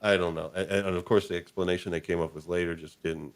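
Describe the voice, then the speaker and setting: A man's voice: deep voice, steady tone, quick pace. A man hosting a casual, conversational interview as a podcast host.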